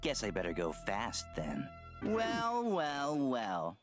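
A male actor voicing a cocky, mischievous, fast cartoon boy in a high, smug, exaggerated voice. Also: wildly swooping pitch, music in the background. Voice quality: high-placed. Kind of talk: cartoon voice acting.

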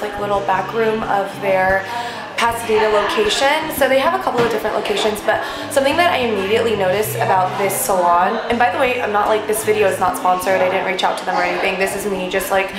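A woman's voice: medium pitch, brisk, poor audio. A woman in her twenties chatting informally as a vlogger, casually narrating and peppering her sentences with "like". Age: 20s. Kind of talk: casual vlog chat and narration.

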